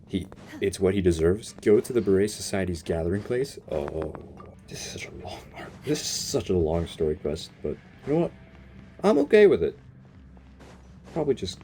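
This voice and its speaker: A game streamer giving casual, expressive, exclamatory reactions to a story. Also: low-pitched. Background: game music.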